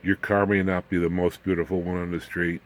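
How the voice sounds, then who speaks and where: Older american guy in his 70s, low voice, tinkering in his shop and rambling along casually, folksy jokes at his own expense. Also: little pitch movement, a little fast. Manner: rambling, folksy, self-deprecating humour with asides to the viewer. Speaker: ham radio hobbyist and video host. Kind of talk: casual unscripted workshop demonstration. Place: home workshop.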